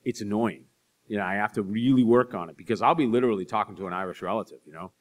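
Give me a lively, self-deprecating man speaking through a headset mic on a library stage, with a slightly low voice. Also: fast talker. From Boston area. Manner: animated storyteller, acts out voices in anecdotes, self-deprecating. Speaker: author speaking to an audience. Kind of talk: storytelling talk and audience Q&A. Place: library stage in front of a curtain.

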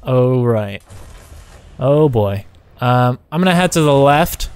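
A man hosts a casual gameplay session with a partner, speaking at a middle pitch. He works out what to do out loud, in short and halting phrases. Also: expressive, smooth. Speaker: gaming video host, player.

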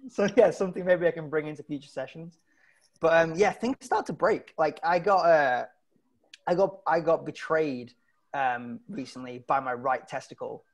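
A British man in his thirties doing a halting, self-deprecating stand-up comedy set over a video call from home, on earphone mic. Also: a little high. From Britain. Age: 30s. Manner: halting, self-deprecating, often chuckling at his own lines. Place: indoor room at home. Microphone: wired earphones on a video call.

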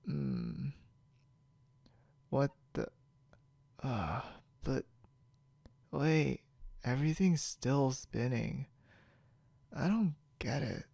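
A man playing a stubborn, boastful, confused drunk young man in an ASMR roleplay, halting and rambling with mumbled, repeated words. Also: mid-range voice, lively intonation, warm tone, dry recording, full character voice. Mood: boastful, dazed. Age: young adult. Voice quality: gravelly, creaky.

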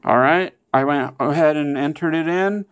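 A man's voice: fairly high pitch, moderate pace, clean tone. A man presenting a casual step-by-step how-to, often checking in with okay and all right. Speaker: tutorial presenter.

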